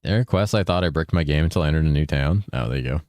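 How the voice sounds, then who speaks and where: Chill young guy streaming games, super deep voice, rambly and sweary, dry close mic sound. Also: animated, racing, a little mellow, dry recording. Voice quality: deep voice that he holds a bit higher than his natural one. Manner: laid-back, rambling, swears a lot, reads chat aloud. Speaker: game streamer. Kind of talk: casual live-stream chat while gaming.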